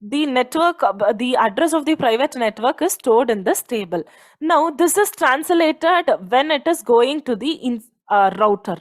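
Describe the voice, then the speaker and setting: A woman's voice: high-pitched, lively intonation, a little slow. A woman with an Indian accent giving an explanatory technical lecture, posing questions and repeating key points like a teacher. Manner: didactic, poses questions then answers them, repeats key points.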